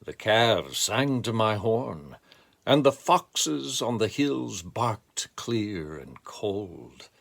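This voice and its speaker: A man with a gravelly voice speaking in a deliberate, measured way, as a poetry reader reflecting and reciting expressively. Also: lowish voice, big pitch swings, fairly bright tone. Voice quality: gravelly, creaky voice.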